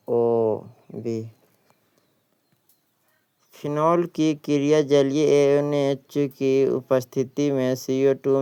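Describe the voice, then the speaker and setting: A male coaching teacher reads practice questions and answers at a slow, deliberate pace. His voice is very clean and smooth, with little melodic variation and a dry, close sound. He tends to repeat the answers. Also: mid-range voice, warm tone, no echo.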